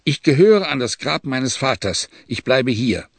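A man voicing a proud, furious young Bedouin sheikh who shouts commands and accusations in an audiobook reading. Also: mid-range voice, big pitch swings, measured pace, dry recording.

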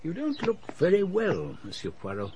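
An actor in a radio drama playing a genial, inquisitive British secret-service gentleman, polite and musing in manner. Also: sits high, wildly swooping pitch, moderate pace, slight background noise, full character voice. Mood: curious, musing. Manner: polite, musing, well-spoken.

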